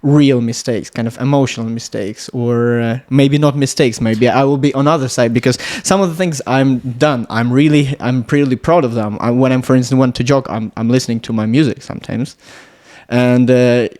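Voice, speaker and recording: Latvian man with a Russian accent, halting. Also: mid-range voice, fairly even intonation, a little mellow. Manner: halting, searching for words, many restarts. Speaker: podcast guest, electronics engineer. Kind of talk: casual podcast conversation.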